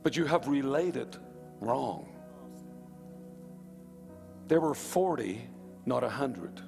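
A man's voice: mid-range voice, animated, slow, gravelly, darkish tone. A man preaching a live sermon to a congregation, with emphatic, fervent repetition. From Northern Ireland. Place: church hall with a live congregation. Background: music.

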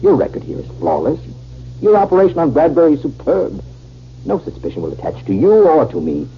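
Cool firm doctor spy, very inflected speech, dull hissy old radio. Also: male voice, a little high, wildly swooping pitch, a little fast. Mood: firm, controlled. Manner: formal, firm, controlled. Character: cool, reassuring male doctor, secret enemy agent. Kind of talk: 1950s radio drama acting. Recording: muffled, faintly hissy old radio recording.

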